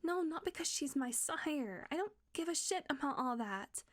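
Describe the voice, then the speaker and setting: Woman doing a vampire lady, kinda high voice, whispery and tense, swears a lot. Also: expressive, normal speed. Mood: shaken, whispering. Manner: shifts from hushed whispers to cursing outbursts. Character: tense female vampire guarding a hunter. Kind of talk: audio roleplay acting.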